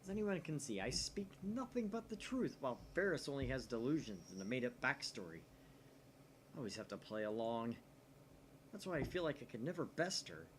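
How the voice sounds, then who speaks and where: A man's voice: medium pitch, sing-song, brisk, slight background noise, rough recording. A young man hosting a gaming video, reading visual novel narration aloud with wry asides. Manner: wry, reads narration with commentary. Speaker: let's-play host.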